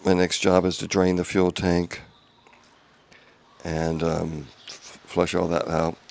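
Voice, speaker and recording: A man giving a casual update video from his home garage, talking quietly in a monotone with many ums and restarts, on a small clip-on mic. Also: low-pitched, slow pace, creaky. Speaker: home car restorer. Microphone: small clip-on wireless mic.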